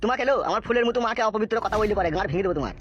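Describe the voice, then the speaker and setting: A man's voice: high voice, expressive, rapid-fire, a bit bright, muffled recording, soft background music. A man playing a hot-headed, crude young married son in a comic cartoon, argumentative and cursing. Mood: indignant.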